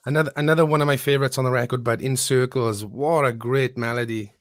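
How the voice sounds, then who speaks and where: A man's voice: medium pitch, fairly even intonation, fast, no room sound, clean studio sound. A man hosting a casual podcast interview, asking chatty, enthusiastic questions. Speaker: podcast host, band member.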